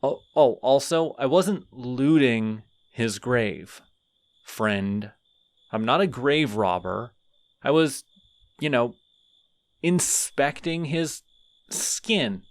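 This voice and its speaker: Friendly, sheepish male skeleton, voiced by a man, mid-pitched and slow-spoken, audio roleplay. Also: animated, no echo. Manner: rambling, sheepish, talks to himself. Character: friendly, chatty male skeleton digging up graves.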